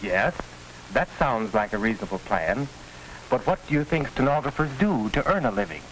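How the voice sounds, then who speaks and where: A middle-aged man playing a formal, courteous school counselor in a 1940s educational film, with a measured, didactic manner. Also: medium pitch, lively intonation, natural voice, poor audio. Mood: courteous, guiding. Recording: hissy 1940s film soundtrack.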